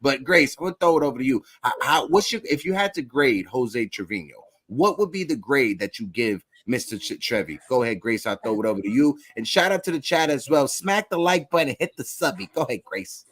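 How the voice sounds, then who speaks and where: A man's voice: medium pitch, very animated, fairly quick, few pauses, fairly bright tone, dry recording. A man hosting a casual live sports fan show, talking straight to his audience in slangy, repetitive phrasing. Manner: addresses listeners directly, slangy, repeats phrases.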